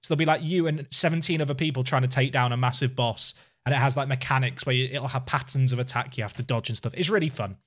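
A man in his thirties talks to his livestream while playing a game. His voice sits at an average pitch, and his delivery is casual and conversational, like chatting with mates from a small room at home. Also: quick pace, fairly bright tone. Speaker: game streamer. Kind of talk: casual livestream gaming chat and commentary.

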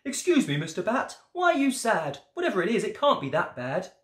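A woman's voice: mid-range voice, big pitch swings, fairly quick, roomy sound, pitched above the performer's own voice, close to an everyday voice. A woman voicing a caring storybook mother mole, polite and motherly in her exclamations. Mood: motherly concern.

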